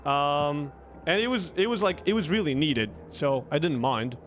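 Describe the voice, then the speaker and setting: A young man, a streamer, telling stories casually in a rambling, halting, conversational way into a close desk microphone. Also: on the high side, lively intonation, fairly bright tone, faint music behind. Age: young adult. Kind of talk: casual livestream storytelling.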